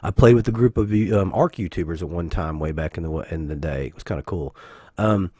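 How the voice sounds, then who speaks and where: A man, husky from the flu, rambling casually as a gaming channel host with self-corrections and joking asides. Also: deep voice, brisk, mellow, no room sound. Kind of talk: casual anniversary vlog talk.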